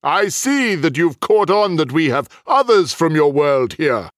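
A male narrator acts a genial being from another world who speaks with measured, apologetic courtesy. The voice sits fairly high for a man and moves in lively swings, in a dry storybook recording. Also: no echo. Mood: apologetic, businesslike. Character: polite, genial male otherworldly host in human guise.

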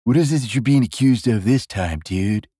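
A man's voice: medium pitch, moderate pace, warm tone, slightly rough, no echo, close to an everyday voice. Android king, male narrator acting: slangy, bossy, dim, cocky; comic audiobook, close dry sound. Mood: laid-back, cocky. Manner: slangy, bossy, laid-back.

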